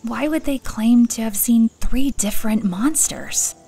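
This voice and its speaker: A woman acting a calm, practical, caring elf in an audio drama. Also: on the high side, measured pace, gravelly, husky, soft background music, heavily acted. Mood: calm, concerned.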